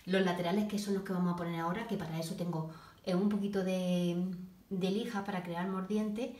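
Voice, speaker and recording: Brief: lower-pitched woman explaining a DIY build while working, halting, echoey home room with noise. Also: steady tone. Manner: halting, talks while working, frequent restarts and soft asides. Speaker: DIY video maker. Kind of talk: step-by-step craft demonstration. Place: home kitchen, indoors.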